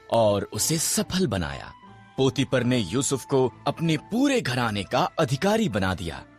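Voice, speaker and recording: A man narrating a scripted children's Bible story in a deliberate, dramatic storytelling manner. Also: medium pitch.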